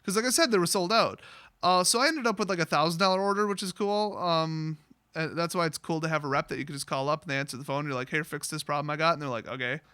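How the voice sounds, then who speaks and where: A man talks in a high-pitched, forceful, bright voice. He rambles through his story like a casual vlogger, sarcastic, blunt and quick to swear. The sound is close and very dry, with hardly any room to it. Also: clear, resonant tone, no room sound. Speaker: online vlogger telling a story, card seller.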